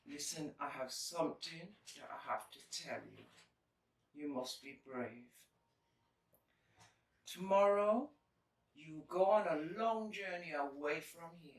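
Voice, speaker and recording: A woman playing a loving but firm elderly Caribbean grandmother in a drama, solemn and tender. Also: lowish voice. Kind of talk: stage-style audio drama. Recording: echoey room, distant microphone.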